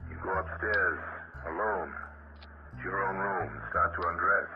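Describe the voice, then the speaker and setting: A man's voice: sits low, steady tone, a little slow. A man narrating an old radio horror play in second person, insinuating and building suspense. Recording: dull, hissy old radio recording.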